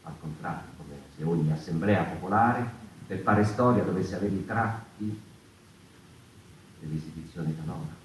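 A man performing a halting, reflective theatrical monologue as a brooding voice of the mouse people. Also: sits low, close to an everyday voice. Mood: rallying, reflective. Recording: echoey, distant, faintly noisy room recording.

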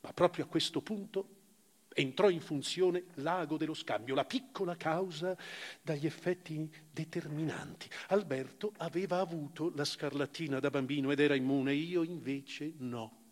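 Actor as grave former prisoner in reverberant hall. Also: male voice, fairly high pitch, moderate pace, close to an everyday voice. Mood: hushed, sombre. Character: former camp prisoner recounting his past, grave and reflective. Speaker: actor reading a literary memoir. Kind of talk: dramatic literary narration, live stage reading for radio. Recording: long reverberant hall, music present. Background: live music.

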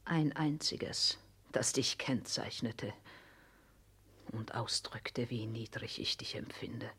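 A woman's voice: rumbling low, takes it slowly, a bit bright, slightly rough, in a whisper, heavily acted. An actress in a 1960s German radio drama playing a proud, scornful woman with an intense, biting, theatrical delivery. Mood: wounded, bitter.

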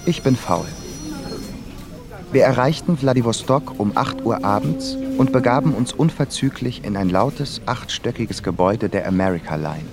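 A man's voice: mid-range voice, expressive, normal speed, creaky. An actor playing a travelling composer, a man reading out his reflective diary in a radio play. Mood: wry, restless. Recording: prominent music behind the voice.

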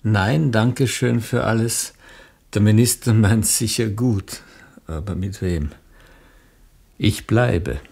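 An actor playing a weary, stubborn rabbi in a radio play, husky, with a plaintive, resistant manner. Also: male voice, fairly low pitch, slow, pauses often, darkish tone, exaggerated voice. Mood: quietly resolute. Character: weary, stubborn male rabbi, quietly suspicious. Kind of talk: German-language radio play dialogue.

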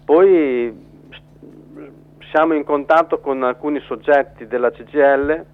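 A man being interviewed as a guest, explaining haltingly and repeating words, over a narrow phone line. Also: a little high. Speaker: metalworker, journalist and documentary co-director, interview guest.

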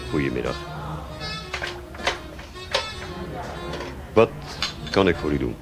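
Very deep-voiced cynical barman. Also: expressive, fast, creaky, music in the background, full character voice. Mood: wry, laconic. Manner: laconic, cynical, blunt. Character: cynical, blunt male drummer and barman in his forties. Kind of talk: naturalistic Dutch radio play acting.

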